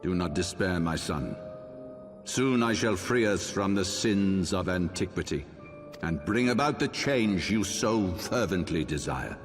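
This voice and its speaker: A deep, authoritative older archbishop from a fantasy game, zealous and coldly paternal. He speaks in measured, oratorical phrases that ring through a long hall reverb over music. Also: animated. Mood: grandly assured. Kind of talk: scripted fantasy game acting.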